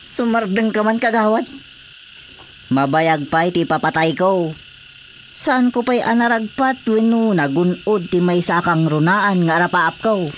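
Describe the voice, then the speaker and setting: A man's voice: sits high, unhurried. Man as stubborn, carefree village councilor. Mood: protesting. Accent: northern Philippine. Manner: dismissive, protesting. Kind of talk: radio drama comedy acting. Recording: faint cricket-like background noise.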